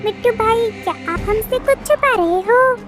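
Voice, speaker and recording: A woman's voice: very high voice, normal speed, voice pushed up. A woman voicing an earnest, determined young bird detective in a cartoon story. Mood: pressing. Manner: earnest, questioning. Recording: music behind the voice.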